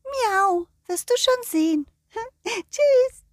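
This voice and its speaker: A woman's voice: very high-pitched, animated, very slow, no echo, clean studio sound, voice pushed up, exaggerated voice. A woman voicing a sly, aloof, teasing cat in a children's story, punctuating phrases with meows.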